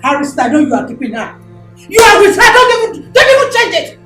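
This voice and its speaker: A woman's voice: big pitch swings, a little fast, a bit bright, full character voice. An actress with a Nigerian accent playing a distraught, furious mother who sputters and repeats herself in a film drama. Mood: anguished, angry. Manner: shrill, repetitive, sputtering. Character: distraught, furious mother demanding her child back. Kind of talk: melodramatic film acting. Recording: echoey room, music underneath.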